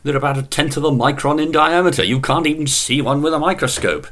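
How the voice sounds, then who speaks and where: A man's voice: fairly high pitch, quick pace, a bit bright, close to an everyday voice. A man playing an exasperated, dryly incredulous doctor in a radio comedy sketch.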